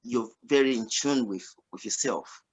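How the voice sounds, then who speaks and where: A man's voice: medium pitch, a little slow, slightly rough, low-quality recording. A man hosting a radio interview, asking loose rambling questions and reacting to the answers. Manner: rambling, restarts questions, warm laughs. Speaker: radio show host. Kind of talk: casual interview chat.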